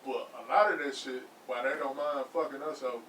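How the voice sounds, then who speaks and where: A man's voice: medium pitch, a little fast, fairly bright tone, far from the mic, a little hiss or hum. A man co-hosting a casual podcast, reasoning aloud with stammers, restarts and profanity. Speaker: podcast co-host. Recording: echoey room, off-mic.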